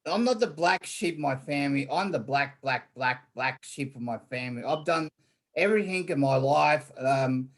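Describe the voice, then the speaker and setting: A man's voice: fairly high pitch, expressive, normal speed, a bit bright. A man from Australia, a guest in a casual online interview, talking in a rambling way with many fillers and repeated words.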